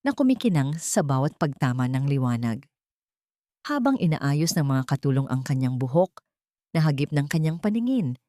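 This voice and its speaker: A woman's voice: sits low, darkish tone, no echo, studio quality. A woman with a Filipino accent reading a scripted, dramatic audio story as the storyteller.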